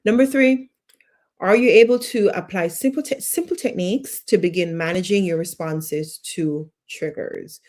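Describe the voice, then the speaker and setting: A woman's voice: lowish voice, moderate pace, a little mellow. Encouraging woman coach. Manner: encouraging, repeats short questions, reads out viewer comments. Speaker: life coach teaching a live online class. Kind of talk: live-streamed class, talking to viewers in chat.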